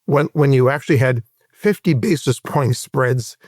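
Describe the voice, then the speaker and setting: A man in his sixties from Hawaii with an American accent, recounting his career in a measured, explanatory way as an interview guest. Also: mid-range voice, darkish tone, dry recording, clean studio sound. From Hawaii, United States. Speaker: interview guest, private equity veteran. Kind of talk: conversational career recollection in an interview.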